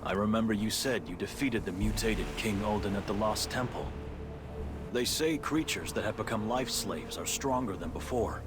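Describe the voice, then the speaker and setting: A man's voice: on the low side, moderate pace, over loud music, natural voice. A man playing a cautious, serious warrior companion in a game cutscene, sober and wary. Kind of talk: scripted game cutscene dialogue.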